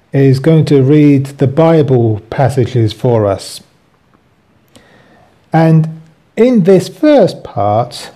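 A man hosting and reading from his own book with commentary, in deliberate, halting phrases. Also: fairly high pitch, mellow.

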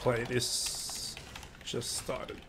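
A young man hosting a casual gaming video, sounding nasal from a cold, chatty and relaxed with lots of fillers. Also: medium pitch, drawn-out words, vocal fry, very noisy. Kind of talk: casual gameplay commentary.

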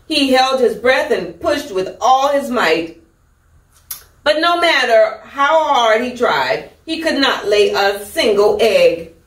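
A middle-aged woman reads a children's story in a high, very animated voice. She takes it slowly with theatrical emphasis. The microphone is distant and the room echoes. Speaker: storytime host. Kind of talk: expressive children's picture-book reading.

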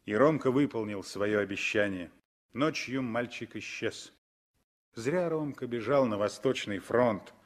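A man's voice: medium pitch, unhurried. A man narrating a story in the scripted style of 1960s Soviet radio drama.

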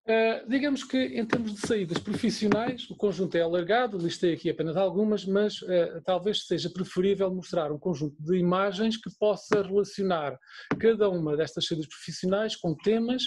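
Man, European Portuguese accent, middle-aged, high-pitched, hesitant, filler-heavy lecturing. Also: normal speed, background noise. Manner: hesitant, many fillers and restarts. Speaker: course lecturer. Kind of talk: online course presentation over slides.

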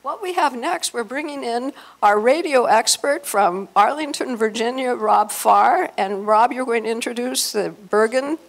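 Creaky-voiced older woman presenting. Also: on the high side, measured pace, few pauses, poor audio. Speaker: event presenter. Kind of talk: informal talk to an audience. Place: hotel meeting room, indoors. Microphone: handheld microphone.

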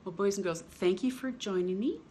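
A middle-aged woman hosting storytime, reading to children in an expressive, drawn-out way with playful emphasis. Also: mid-range voice, a little hiss or hum. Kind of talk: reading a picture book aloud to children. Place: indoors in a home room.

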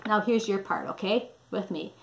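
A woman in her sixties reading a storybook aloud to children in an animated, deliberate, teacherly manner. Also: medium pitch, creaky, low-quality recording. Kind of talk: expressive read-aloud to children. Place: small indoor room.

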